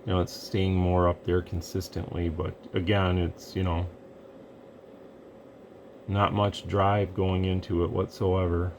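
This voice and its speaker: A man with a deep, level voice talks through a radio demo in an easygoing, rambling way, pausing with fillers as he works. He sounds low and steady, with faint noise behind him. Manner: relaxed, rambling, thinks aloud with fillers. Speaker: radio equipment reviewer. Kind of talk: casual hands-on demo narration.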